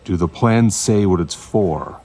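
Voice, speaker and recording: An actor playing a grim, protective male investigator who talks tersely and deliberately in an audio drama. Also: low-pitched, dark tone. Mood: grim, probing.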